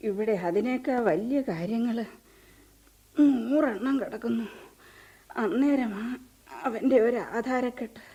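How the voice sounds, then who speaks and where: Radio drama, stern middle-aged village mother, reproachful and deliberate. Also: a little high, warm tone, husky, highs cut off, full character voice. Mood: bitter. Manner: slow, cutting and reproachful. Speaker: mother of the household. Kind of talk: 1970s radio drama acting. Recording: radio play with music behind.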